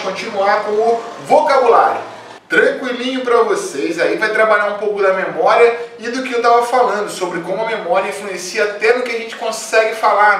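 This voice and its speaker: Man with a high voice in an echoey room. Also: fairly bright tone, far from the mic, a little hiss or hum. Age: young adult. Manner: friendly, encouraging, addresses viewers as "gente linda". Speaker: memory workshop teacher. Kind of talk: explaining worksheet exercises to a class. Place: small room indoors.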